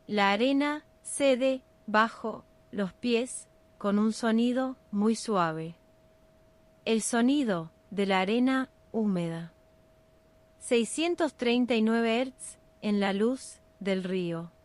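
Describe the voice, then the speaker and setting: A woman with a Rioplatense Spanish accent narrating a scripted bedtime meditation in a soothing, unhurried, measured ASMR style. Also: fairly high pitch.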